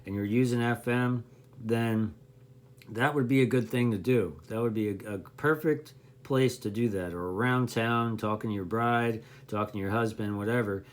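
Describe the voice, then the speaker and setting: A man's voice: fairly low pitch, normal speed. A man giving a casual, unscripted hobby explainer in a rambling, folksy way, often correcting himself as he goes. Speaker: CB radio hobbyist explaining gear to beginners.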